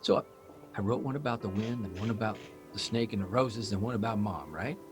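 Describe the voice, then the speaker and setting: An actor playing a restless, self-doubting male writer in a live audio drama, rambling and halting as he questions himself. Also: on the low side, over music, natural voice. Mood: distracted.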